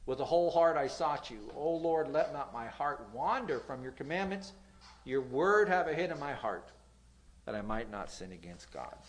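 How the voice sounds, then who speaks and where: Nasal male pastor preaching, stresses lines loudly, drops quiet, stumbles on words now and then. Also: fairly high pitch, lively intonation, moderate pace, slight background noise. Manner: reads scripture aloud, swings between loud emphasis and quiet; occasional stutter on words. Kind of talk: sermon.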